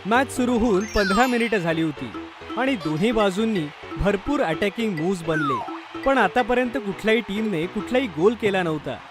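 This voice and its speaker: Male narrator, high pitch, scripted kids' storytelling, clear music bed. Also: wildly swooping pitch, normal speed.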